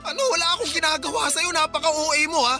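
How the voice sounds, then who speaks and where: A woman's voice: high voice, steady tone, fast talker. A woman voicing a defensive, hot-tempered young husband in a radio drama, with faint music behind. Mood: exasperated. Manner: defensive, exasperated.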